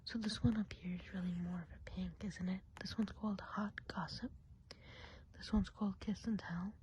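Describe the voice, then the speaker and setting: A woman voicing a chatty, gossipy witch of the woods in an ASMR roleplay, speaking in a soft breathy whisper and confiding in the listener. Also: mid-range voice, fairly even intonation, moderate pace, a little hiss or hum, close to an everyday voice. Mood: gentle, musing. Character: chatty gossipy woodland witch planning enchanted festival.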